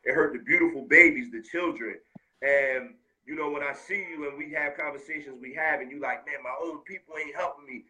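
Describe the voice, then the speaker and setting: American man, heartfelt, slangy, bright tone. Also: fairly high pitch, fairly quick, poor audio. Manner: heartfelt, street slang, animated. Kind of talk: casual conversation.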